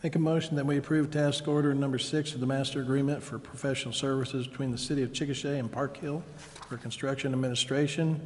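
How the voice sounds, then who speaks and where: A man's voice: mid-range voice, steady tone, quick pace, darkish tone. A man serving as a council member, formally reading out motions and giving short procedural replies. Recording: echoey council chamber, faint noise.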